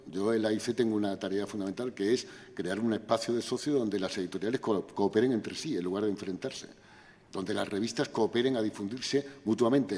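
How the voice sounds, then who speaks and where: Older spanish guy, lowish voice, explaining things slow and steady, lots of tag questions, hall echo. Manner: measured, lecturing, frequent tag questions. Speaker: invited speaker at academic conference. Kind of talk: conference Q&A answer. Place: university auditorium.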